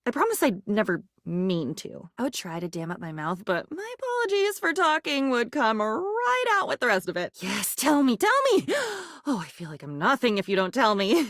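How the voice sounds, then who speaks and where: A woman's voice: high-pitched, fairly bright tone, no room sound, clean studio sound, heavily acted. A woman voicing an anxious, lonely living diary in a video game, rambling and disjointed, lurching between moods and volumes in a theatrical way. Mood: anguished, desperate.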